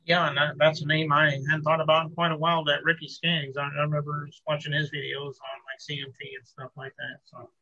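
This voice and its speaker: A man hosting a show, friendly and steady as he leads the interview. His voice is very bright and fairly high, with a flat, even melody. The sound is slightly dull and lo-fi. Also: fairly quick, few pauses. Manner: friendly, steady, plugs the guest. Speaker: show host.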